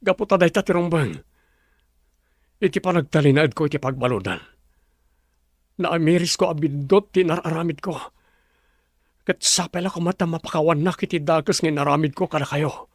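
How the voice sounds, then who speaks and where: An actor with an Ilocano accent playing a remorseful, earnest older brother freed from prison, in a drama. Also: male voice, a little high, normal speed, long pauses, no room sound, clean studio sound. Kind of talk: drama dialogue.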